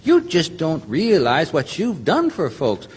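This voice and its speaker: A sweet, innocent old guardian angel in a stage radio play, his high voice swooping up and down. He is fussy and courtly, with old-fashioned earnestness, heard in a reverberant theater over music. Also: wildly swooping pitch, measured pace, slightly rough, exaggerated voice. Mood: fussy, concerned. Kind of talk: old-time radio drama acting on stage.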